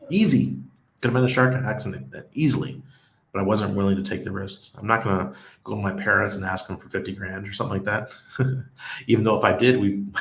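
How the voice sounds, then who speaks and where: A man in his forties with a Western New York accent, talking in a low voice with a fairly flat, even pitch. He chats casually and chuckles often while reading viewer comments aloud. His voice rings in an echoey home room. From Western New York, USA. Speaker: livestream host. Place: small home room with neon shelf lights. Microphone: desk mic on a stand beside him.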